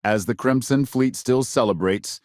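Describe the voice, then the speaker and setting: An actor playing a serious, polished male news anchor in a sci-fi game, reading scripted reports with measured, emphatic delivery. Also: mid-range voice, darkish tone, no room sound, clean studio sound, natural voice. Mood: grave, authoritative. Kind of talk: scripted news broadcast narration.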